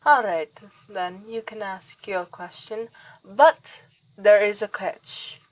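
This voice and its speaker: Actress as reluctant vampire princess, ASMR roleplay: kindly, wry, slightly haughty, slow delivery, faint crickets in the background. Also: female voice, medium pitch, natural voice, low-quality recording. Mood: intrigued. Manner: formal wording with wry, weary asides. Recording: outdoor ambience with faint insect noise.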